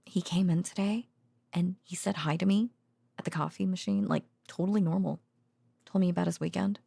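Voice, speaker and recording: Woman playing a weary, affectionate researcher girlfriend, ASMR audio roleplay, soft half-whispered murmur, frequent vocal fry, very dry close-mic sound, hesitant intimate delivery. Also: on the low side, steady tone, fairly quick, dry recording, heavily acted. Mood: wry, musing. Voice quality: soft, breathy, partly whispered. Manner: hesitant, drowsy, musing, with sighs and soft chuckles. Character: tender, weary female secret-facility researcher and girlfriend. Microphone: close microphone.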